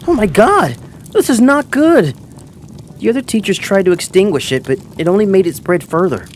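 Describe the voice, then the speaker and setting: A man's voice: high voice, lively intonation, fast talker, darkish tone, a little hiss or hum. A man voicing an earnest twenty-five-year-old male teacher who narrates his own story and acts out his lines in a manga dub. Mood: alarmed, pleading. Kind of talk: first-person manga dub narration with acted dialogue.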